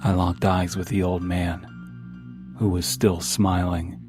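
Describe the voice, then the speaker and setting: Low-voiced man narrating horror fiction over music. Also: level tone, slow. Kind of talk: scripted first-person creepypasta narration. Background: background music.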